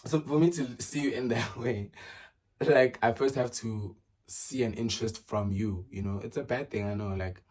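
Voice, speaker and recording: A man's voice: mid-range voice, normal speed, darkish tone. A man, chatting casually through a get-to-know-me Q and A, full of fillers and restarts. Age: early 20s. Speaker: vlogger.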